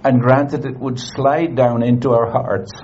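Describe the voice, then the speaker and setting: Slow, halting male preacher, dull recording. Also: mid-range voice, steady tone, a little mellow, a little hiss or hum. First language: English. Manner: halting, many fillers and restarts. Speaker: guest preacher. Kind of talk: sermon with interpreter, short phrases.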